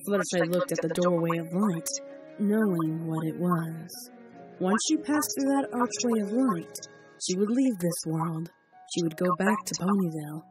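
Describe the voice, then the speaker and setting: Low female storybook narrator, measured and dramatic, set back from the mic with music behind. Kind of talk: audiobook fanfic reading. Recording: distant voice over loud music.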